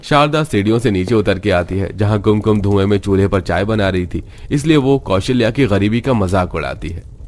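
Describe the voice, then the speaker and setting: A man's voice: on the low side, expressive, normal speed, a little mellow. A man reading scripted narration for a cartoon story.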